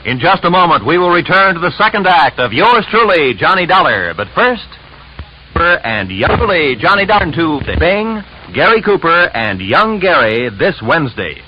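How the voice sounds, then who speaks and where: A man's voice: a little high, big pitch swings, moderate pace. A man announcing an old-time radio drama in a vintage broadcast style. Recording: muffled old radio recording with music.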